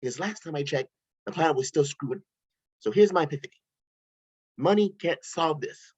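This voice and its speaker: An eccentric tech billionaire, read by a man rambles with sarcastic self-assurance. His fairly high voice leaps all over. The home mic sounds dull and lo-fi. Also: expressive, fairly quick, husky. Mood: animated. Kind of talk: table read of a screenplay.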